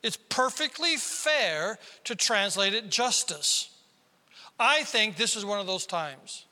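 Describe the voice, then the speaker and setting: A man from South Dakota preaching a sermon as pastor, with a squeaky tenor voice and emphatic delivery that climbs into sudden loud bursts. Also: lively intonation, takes it slowly. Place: large church hall. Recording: reverberant hall.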